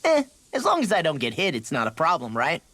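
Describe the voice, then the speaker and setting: A man's voice: on the high side, wildly swooping pitch, fairly quick, sharp tone, no echo, exaggerated voice. An actor playing a brash, hot-headed young male fighter in a video game, with an American accent and cocky, slangy delivery. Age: young adult. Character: brash, hot-headed young male brawler, son following father's footsteps. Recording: studio voice over game music.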